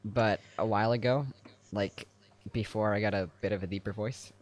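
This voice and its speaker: A teenage boy co-hosting a casual podcast chat, quick and chuckling, often trailing off. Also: on the low side, steady tone. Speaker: podcast co-host.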